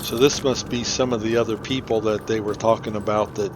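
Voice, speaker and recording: A man's voice: fairly low pitch, steady tone, fairly quick, noisy background. A man giving casual, conversational commentary as he hosts a video game playthrough. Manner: informal, conversational. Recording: game music behind voice. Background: game music.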